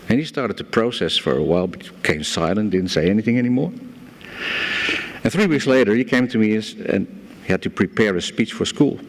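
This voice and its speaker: Dutch-accented man giving a talk. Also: on the low side. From Netherlands. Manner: storytelling, self-deprecating humor. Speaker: guest speaker. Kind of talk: conference presentation. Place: conference hall. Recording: hall reverb from a lecture room.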